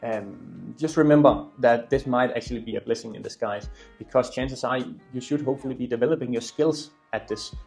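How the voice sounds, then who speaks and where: A man's voice: mid-range voice, fairly quick, gravelly, a little mellow. Young Danish-accented man, music behind. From Denmark. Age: 20s. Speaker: YouTuber and e-commerce entrepreneur. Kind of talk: casual storytelling talk to camera.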